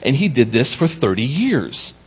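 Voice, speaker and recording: A man's voice: medium pitch, very animated, normal speed, husky. A man giving a conference talk, telling a story with profane comic asides and acted-out exchanges. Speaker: conference speaker. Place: conference hall.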